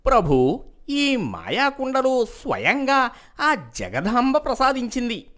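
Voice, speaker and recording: A woman's voice: fairly high pitch, wildly swooping pitch, takes it slowly, a bit bright, exaggerated voice. A woman voicing a witty, confident male court jester in a moral story cartoon, speaking in an emphatic, declamatory way. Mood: reverent, assured. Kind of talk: animated moral story dialogue.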